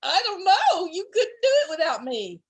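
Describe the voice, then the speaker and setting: Woman doing a shrill boastful groundhog. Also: unhurried. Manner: excited, shouting, voice getting hoarse. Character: boastful excitable male groundhog, small.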